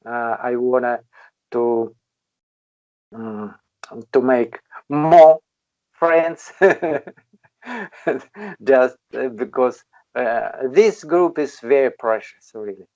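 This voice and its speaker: Slow, halting older man. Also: medium pitch, animated, a little mellow, no room sound. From Kalmykia, Russia. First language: Kalmyk. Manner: halting, with many long hesitations. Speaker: English learner in an online conversation group. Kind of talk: casual chat. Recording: muffled, dry online call.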